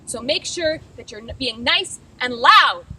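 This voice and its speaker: A woman giving upbeat, encouraging instruction as a roller derby coach and read-aloud host, projecting clearly. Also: high voice, lively intonation, measured pace, pushed and bright, a little hiss or hum, rough recording.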